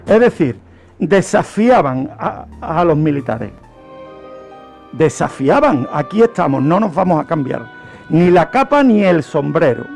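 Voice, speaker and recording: A man with a Spanish accent from Spain speaks in a high voice over faint music. He takes his time, explaining history like a teacher on the radio and putting questions that he then answers himself. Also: very animated, unhurried, gravelly, darkish tone. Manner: didactic, poses questions and answers them. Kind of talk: unscripted radio history storytelling.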